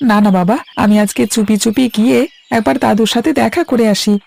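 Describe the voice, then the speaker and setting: A woman's voice: fairly high pitch, fairly even intonation, fairly quick, vocal fry, a little mellow. A woman voicing a timid, anxious young boy in a cartoon story. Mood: timid, eager. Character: timid young boy visiting his grandfather. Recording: music under the voice.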